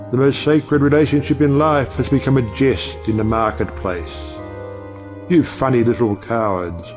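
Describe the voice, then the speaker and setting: An actor playing a wry, provocative middle-aged judge and uncle in an audio play, speaking in a rhetorical, oratorical way. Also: male voice, mid-range voice, natural voice. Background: music.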